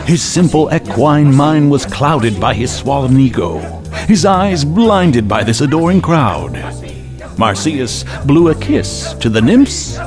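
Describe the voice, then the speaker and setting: A man narrating a radio drama as a theatrical storyteller, with measured, dramatic phrasing. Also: a little high, sing-song, music in the background.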